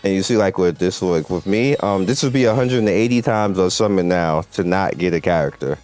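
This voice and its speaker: Low-voiced man doing gameplay commentary over music. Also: fairly quick, darkish tone. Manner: emphatic, repeats points for emphasis. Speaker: host of a mobile game video channel. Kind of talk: casual gameplay commentary, talking to viewers and developers.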